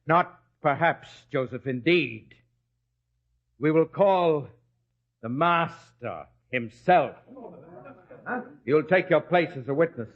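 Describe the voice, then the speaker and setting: A man playing a scheming, zealous high priest in a biblical radio drama, speaking in a formal, insistent, declamatory way. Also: lively intonation, takes it slowly, long pauses. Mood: stern, formal. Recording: dull, lo-fi radio drama recording.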